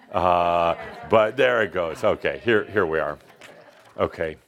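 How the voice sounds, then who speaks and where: A man's voice: low-pitched, slow, a bit bright. A middle-aged man co-hosting a live studio show in casual chat, joking and laughing often. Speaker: co-host.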